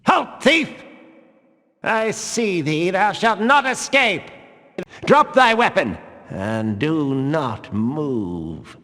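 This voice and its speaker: A fanatical religious guard from a video game, barking archaic commands at thieves. His voice sits high and rings out slowly in a long hall reverb, stern and combative. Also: big pitch swings, sharp tone, full character voice. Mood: outraged, commanding. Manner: declamatory, archaic, commanding speech. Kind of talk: video game voice acting, archaic battle barks.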